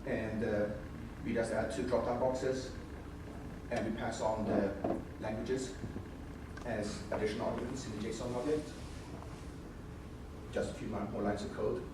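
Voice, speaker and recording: A man's voice: fairly low pitch, steady tone, unhurried. A man whose first language is Swedish presents a technical demo at a conference, walking through code step by step in an informal way with frequent restarts. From Sweden. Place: large conference room. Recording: echoey hall, voice far from mic, noisy.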